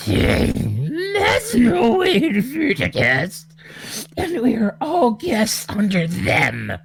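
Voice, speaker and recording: Creepy possessed neighbor man for horror radio play, super slow gurgly croak, pitch swooping all over. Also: huge pitch swings, exaggerated voice. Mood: menacing, coaxing. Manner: drawn-out, unhinged, swooping delivery. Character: mad grinning possessed male neighbor, eerie and menacing.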